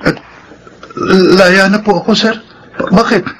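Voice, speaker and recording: High-pitched anguished young Filipino man. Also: fairly even intonation, takes it slowly, fairly bright tone, slight background noise. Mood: subdued. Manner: pleading, earnest. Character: anguished young man wrongly jailed for girlfriend's killing. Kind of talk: Filipino radio drama acting.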